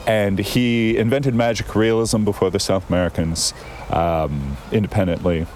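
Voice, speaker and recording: Deep-voiced male guest, rambling storyteller recorded outdoors, faint traffic behind him. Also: fairly even intonation, moderate pace, darkish tone. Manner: thoughtful, digressive storyteller with frequent fillers. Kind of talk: casual conversation. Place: outdoors in a town.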